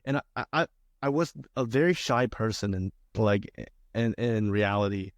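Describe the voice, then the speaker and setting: A man's voice: lowish voice, normal speed, dry recording, clean studio sound. A young man from China, a theater student, talking casually as an interview guest with many fillers and restarts. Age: young adult.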